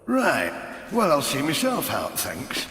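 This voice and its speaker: A man's voice: fairly high pitch, wildly swooping pitch, slow, bright, forceful tone, full character voice. A man playing a folksy, put-upon town mayor in a video game, chatty and blustery. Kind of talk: video game character acting. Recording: echoey hall-like reverb, faint noise.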